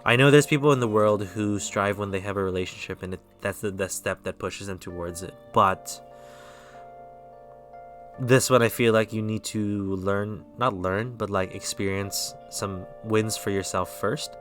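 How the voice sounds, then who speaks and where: A man with an American accent giving a relaxed, rambling tarot reading on a live stream, often stopping to correct himself. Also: on the low side, normal speed, faint music behind. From Philippines.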